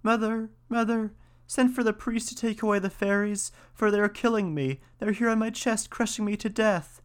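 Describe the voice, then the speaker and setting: A man's voice: fairly even intonation, moderate pace, smooth, natural voice. A man voicing a young boy crying out in terror as he is dying. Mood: terrified. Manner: high pleading cries.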